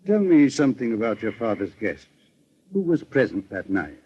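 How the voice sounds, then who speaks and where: An American man playing a composed, methodical private investigator in an old-time radio drama, speaking in a measured, formal way. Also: lowish voice, sing-song, a little hiss or hum. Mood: calm inquiring. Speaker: radio actor. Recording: dull vintage radio recording.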